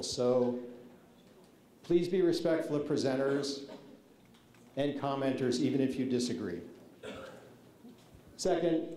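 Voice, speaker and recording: A man's voice: mid-range voice, mellow. A man facilitating a public meeting, laying out the ground rules informally and politely, with lots of ums. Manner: informal, many fillers, polite requests. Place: large public meeting hall. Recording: echoey meeting hall, faint noise.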